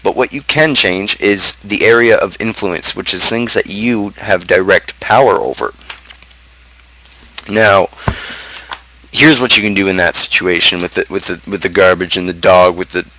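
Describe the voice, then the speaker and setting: A man with a North American accent speaks in a fairly low voice. He talks like the host of a casual online stream, loose and rambling. His delivery is blunt and slangy, with a crude edge. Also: sharp tone, low-quality recording. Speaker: host of a gaming channel's talk show. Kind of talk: casual livestream chat, book review.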